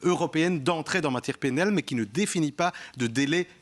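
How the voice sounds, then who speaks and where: Methodical Belgian legal pundit. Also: male voice, a little high, quick pace. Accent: Belgian French. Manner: methodical, precise explanations. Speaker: legal commentator. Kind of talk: studio panel discussion.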